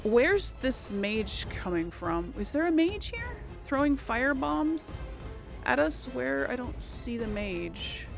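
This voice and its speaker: A woman's voice: a little high, very animated, takes it slowly, gravelly. A woman giving casual game commentary to viewers, exclaiming and muttering her reactions as she plays. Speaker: let's play host. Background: game music.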